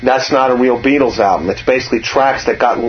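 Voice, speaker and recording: A man with a low voice who talks music in a loose, rambling way and often restarts his sentences. The recording sounds somewhat muffled, and a faint hum sits underneath. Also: fast. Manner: rambling, restarts, hums a tune. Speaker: interviewer, musician. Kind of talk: casual music chat.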